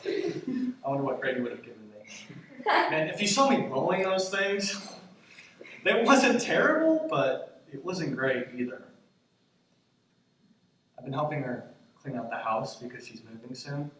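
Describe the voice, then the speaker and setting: A man in his twenties playing an anxious grandson in a stage monologue, talking in a halting, conversational, self-deprecating way. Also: a little high, big pitch swings, a bit bright. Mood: fond, wistful. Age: 20s. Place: stage in a theater, recorded from the audience. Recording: echoey theater, distant mic, faint noise.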